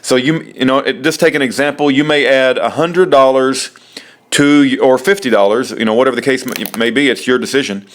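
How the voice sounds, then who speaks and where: Guy with a mid-range voice doing a casual how-to, kinda halting, goes back over stuff as he explains. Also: mid-range voice. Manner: halting, explanatory, repeats himself. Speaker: presenter of a how-to video. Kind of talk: informal spoken tutorial, step by step.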